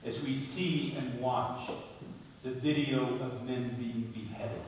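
Male bishop giving a sermon, really slow n solemn, super echoey big church. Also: medium pitch, fairly even intonation, a little mellow. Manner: solemn, deliberate, phrase by phrase with emphatic stresses. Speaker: bishop preaching a homily. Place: large stone-walled church, at the ambo before a congregation. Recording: very echoey church, distant mic, faint noise.

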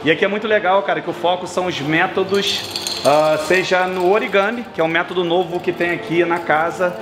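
Upbeat Brazilian man in his 30s, casual vlog host, handheld cam outdoors with loud street noise. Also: sits high, steady tone, fairly quick. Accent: Brazilian Portuguese. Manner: enthusiastic, chatty, lists things off. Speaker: travel vlogger. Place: city sidewalk and café terrace outdoors. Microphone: handheld camera at arm's length.

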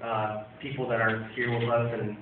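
Brief: young man, best man toast, halting and chuckling, heard from far off on a tinny phone recording in an echoey hall. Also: mid-range voice, little pitch movement, noisy background. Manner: halting, many fillers and restarts, chuckles. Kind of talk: wedding toast speech. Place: dim wedding reception hall, indoors. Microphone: handheld mic, filmed by a far phone. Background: crowd of guests.

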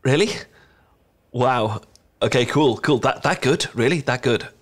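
Casting: male actor as adorkable young British chocolatier, mid-pitched, bashful and smitten, nervously tripping over words in an intimate audio roleplay. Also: expressive. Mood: bashful, hopeful. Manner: nervous, stammering, halting. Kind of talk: ASMR roleplay, one-sided intimate conversation.

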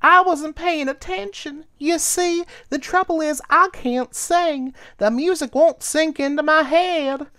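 A man voicing a shy, sad young female cricket who speaks timidly and haltingly, sometimes through tears. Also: very high-pitched, bright, forceful tone, pitched above the performer's own voice, full character voice. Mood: tearful.

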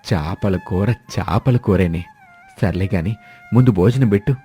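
A man voicing a hungry, mild old village husband in an animated moral story. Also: low-pitched, moderate pace, slightly rough, faint music behind. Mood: contented. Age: older.

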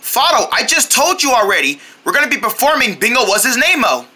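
A woman's voice: fairly high pitch, sing-song, a little fast, pushed and bright. A woman voicing an eager, bossy young goat who rallies a group of singing friends in a playful story.

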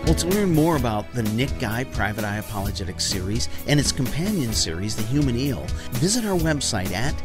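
A man reading scripted narration as a series presenter in a measured, earnest, articulate manner. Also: mid-range voice, very animated, over music.

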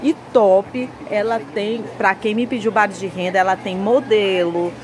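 A woman's voice: medium pitch, fairly even intonation, measured pace, background noise. A woman with a Northeastern Brazilian accent presenting a shopping vlog in an enthusiastic, chatty sales style, addressing viewers as friends. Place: indoor market lingerie stall.

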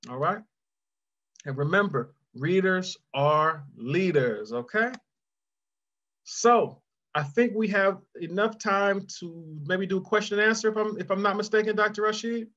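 Higher-voiced man, dull call audio. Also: measured pace, fairly bright tone. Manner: conversational, addresses children directly by name. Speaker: guest children's author and mentor. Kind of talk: encouraging question-and-answer talk to children. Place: indoors on a video call.

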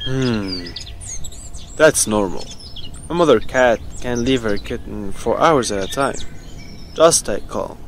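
A man playing a calm, gently wise father in a children's audio story, measured and reassuring. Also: mid-range voice, slight background noise. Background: music and birdsong.

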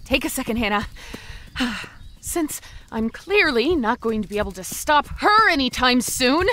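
A woman's voice: sits high, big pitch swings, unhurried, sharp tone, slight background noise, exaggerated voice. A woman playing a chatty, panicky, friendly young zombie who rambles and quips to the listener in a comedy audio drama. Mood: anxious, self-calming. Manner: rambling, quippy, self-interrupting.

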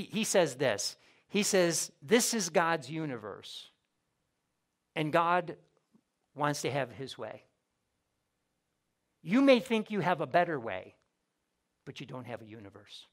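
Guy preaching a sunday sermon, fairly high voice, gets loud then drops way down. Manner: emphatic preaching, rising and falling in volume, repeats words. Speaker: pastor. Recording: audio of a church sermon.

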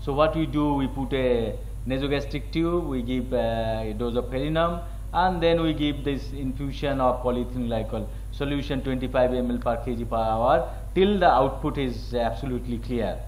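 A man with an Indian accent moderating a medical panel discussion in a halting, repetitive way. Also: mid-range voice, darkish tone. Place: conference hall with panel table. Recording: noisy conference hall recording.

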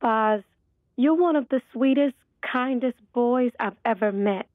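An actress playing a kind, apologetic but gently firm young girlfriend in an audio drama. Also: on the high side, unhurried, dry recording, lightly acted. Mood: hesitant, gentle. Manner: gentle, careful. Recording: dry, thin phone-like sound.

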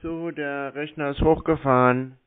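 Guy on a bad phone line, grumbly n argumentative, acting slow on purpose. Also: fairly high pitch, fairly even intonation, very noisy. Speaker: scambaiter posing as a victim on a phone call. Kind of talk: phone conversation, playing a slow customer. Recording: muffled lo-fi phone call.

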